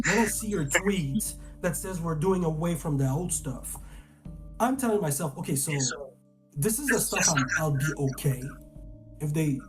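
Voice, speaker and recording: A man's voice: high-pitched, rough recording. A man from Canada, a guest reviewer in casual film chat, talking animatedly, often restarting sentences and swearing.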